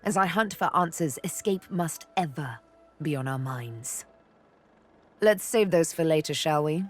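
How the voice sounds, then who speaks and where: A woman's voice: low-pitched, animated, unhurried, faint music behind. A woman voicing a sly elf rogue in a video game, playful and flirtatious with a theatrical, threatening edge. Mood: sly, wry. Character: sly elf woman rogue, former slave, teasing and menacing. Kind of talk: video game character acting.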